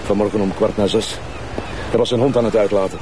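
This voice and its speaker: A man's voice: lowish voice, fairly even intonation, fast talker, warm tone, natural voice. A man with a Flemish accent playing a dutiful policeman who reports factually and deferentially in a TV crime drama. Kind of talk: naturalistic TV crime drama acting. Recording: noisy outdoor location sound.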